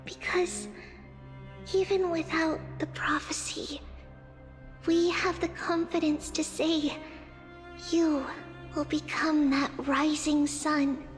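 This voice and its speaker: Gentle young game heroine, very high voice, slow and solemn, music behind. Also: female voice. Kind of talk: video game voice acting.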